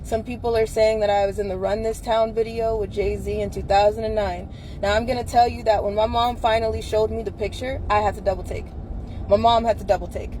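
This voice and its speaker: A woman's voice: medium pitch, fairly even intonation, fairly quick, mellow, slight background noise, poor audio. A woman in her thirties hosting a live stream, talking to her viewers in an earnest, blunt, direct way. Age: 30s.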